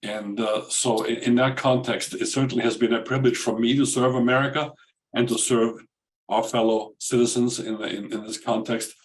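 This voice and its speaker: An Austrian-accented man in his sixties moderates a panel with formal courtesy. His voice sits at a middling pitch, and he picks his words haltingly and with care. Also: steady tone, poor audio. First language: German. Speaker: moderator, retired diplomat. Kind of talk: semi-formal panel remarks. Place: video call webinar.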